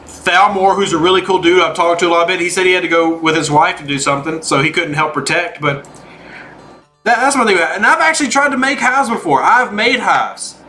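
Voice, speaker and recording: A man's voice: high-pitched, lively intonation, brisk, bright, forceful tone, faint music behind. A man hosting a game livestream, chatting casually and informally as he answers viewers by name.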